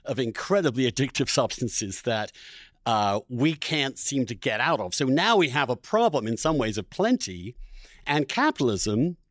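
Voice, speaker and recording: Guy hosting a podcast, medium pitch, wordy and emphatic, really dry sound. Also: sing-song, moderate pace, dry recording. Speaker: podcast host. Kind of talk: conversational interview with spoken introduction.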